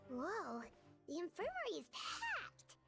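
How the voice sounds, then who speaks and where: A woman voicing a small, excitable, childlike fairy companion in a video game, with a squeaky voice. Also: takes it slowly, faint music behind, exaggerated voice. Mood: surprised.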